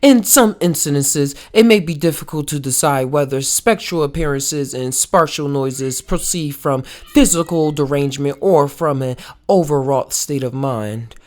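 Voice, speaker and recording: A woman reads a novel aloud for a book club. Her voice sits low and she takes the text slowly. The delivery is a little halting, as in an unpolished read-along. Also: a little mellow.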